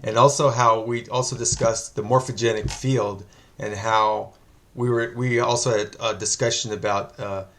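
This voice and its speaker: A man's voice: lowish voice, fairly even intonation, normal speed, a bit bright, slight background noise. Rambling male interview host. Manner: rambling, many fillers, joking. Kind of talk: casual interview chat.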